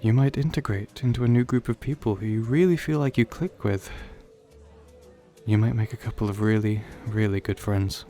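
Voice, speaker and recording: A man's voice: on the low side, normal speed, mellow, faint music behind, close to an everyday voice. A man playing an awkward, wistful young old friend in an audio roleplay, talking hesitantly and rambling in a self-deprecating way. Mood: hopeful, earnest. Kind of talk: audio roleplay, conversational acting addressed to listener.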